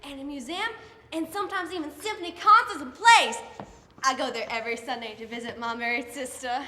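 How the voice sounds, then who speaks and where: A girl acting as a naive fifties waitress speaks as if on stage. The voice is high, over clear background noise. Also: fairly quick, natural voice. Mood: earnest. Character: naive young 1950s diner waitress. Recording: noisy stage recording.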